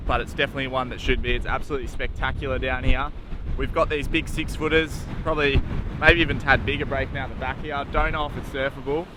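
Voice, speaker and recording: Australian man, young, casual slangy vlog talk, average pitch, outdoor noise in the background. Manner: laid-back, jokey, uses slang. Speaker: travel vlogger. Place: outdoors at a beach.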